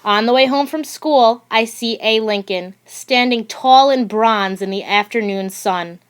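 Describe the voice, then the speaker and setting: Woman reading a kids book out loud, really slow and expressive, slightly high voice. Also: clean tone. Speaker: audiobook reader. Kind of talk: scripted children's picture book narration read aloud.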